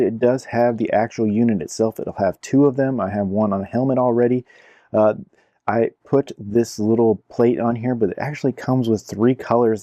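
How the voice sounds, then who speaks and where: A man's voice: on the low side, warm tone, dry recording, studio quality. A man giving a casual hands-on product review in an informal, explanatory way, repeating himself as he goes. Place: indoor room at a cluttered computer desk.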